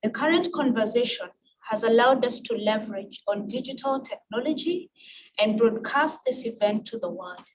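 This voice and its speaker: A woman with a Kenyan accent and Luo as her first language gives a formal, measured prepared speech with emphatic phrasing. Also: a little high. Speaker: guest speaker at an international women's event. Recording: distant mic with clear background noise.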